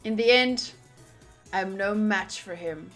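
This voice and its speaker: A woman's voice: medium pitch, takes it slowly. A woman streamer reading visual novel text aloud with casual, playful commentary. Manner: animated, playful, exclaiming. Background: game music.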